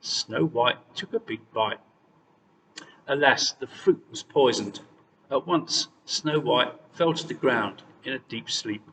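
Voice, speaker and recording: A man reading a fairy tale aloud as scripted, expressive narration. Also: mid-range voice, slow. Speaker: storyteller. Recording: distant, reverberant hall sound with noise.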